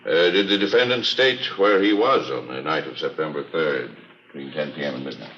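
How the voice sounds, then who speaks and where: An actor playing a formal, methodical prosecutor questioning a witness in a radio courtroom drama. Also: male voice, very deep voice, lively intonation, a little fast, fairly bright tone, full character voice. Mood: formal, probing. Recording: dull, faintly noisy old radio recording.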